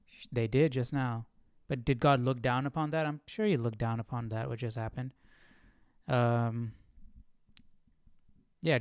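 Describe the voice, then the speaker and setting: A man's voice: mid-range voice, smooth, no room sound, clean studio sound. A man hosting a live Bible study, chatting informally and thinking aloud in a hesitant, musing way. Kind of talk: casual live-stream chat.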